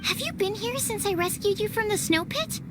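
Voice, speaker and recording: A woman's voice: very high voice, expressive, moderate pace, music in the background. A woman voicing a timid, earnest young woman alchemist in a video game, hesitant, polite and apologetic in formal phrasing. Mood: polite concern. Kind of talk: animated game dubbing. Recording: clearly noisy background.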